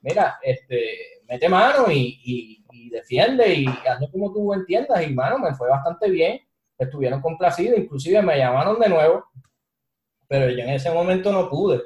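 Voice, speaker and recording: A man co-hosting a podcast, telling a story in a relaxed, encouraging way with casual slang. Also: fairly high pitch, moderate pace, lo-fi sound. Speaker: podcast co-host, IT instructor. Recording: roomy echo.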